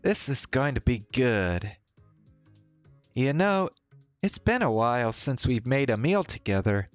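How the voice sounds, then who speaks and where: A man's voice: mid-range voice, very animated, unhurried, clear, resonant tone, faint music behind, voice pushed up. A man voicing an upbeat, casual young woman in a visual novel. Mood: excited.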